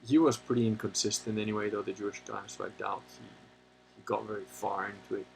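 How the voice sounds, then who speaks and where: A man hosting a livestream, talking casually and answering chat questions in a rambling, halting way with many pauses, and sometimes turning blunt and opinionated. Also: on the low side, flat delivery, gravelly, a little hiss or hum, rough recording. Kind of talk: casual unscripted monologue.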